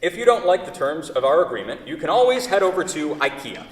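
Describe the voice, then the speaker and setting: A man's voice: a little high, very animated, brisk. Man acting an anguished craftsman in an echoey hall. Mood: indignant, firm. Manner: wordy, self-correcting, fretful. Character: anguished furniture craftsman in love with his own creation. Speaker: actor in a staged play reading. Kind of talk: theatrical staged reading. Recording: echoey hall, far from the mic.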